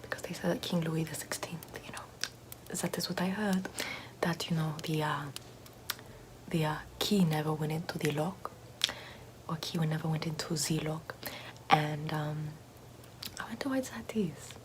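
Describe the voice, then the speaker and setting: A woman's voice: low voice, normal speed, pauses often, a little hiss or hum, lightly acted, low-quality recording. A woman whispering as a vain, pompous aristocratic lady doing makeup in an ASMR roleplay, in a haughty, self-admiring, gossipy way.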